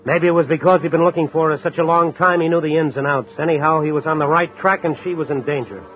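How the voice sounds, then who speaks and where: An actor playing a world-weary, wry newspaper reporter, narrating and acting in a 1950s radio drama. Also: male voice, fairly high pitch, quick pace, lightly acted. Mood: grim. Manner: hard-boiled, wry. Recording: muffled vintage radio recording with music.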